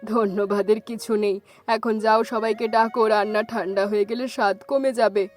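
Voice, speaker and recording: A woman's voice: medium pitch, fairly even intonation, normal speed, faint music behind, natural voice. A woman voicing a kindly, encouraging old village grandmother in a cartoon story. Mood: content, affectionate. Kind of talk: cartoon story dialogue in Bengali.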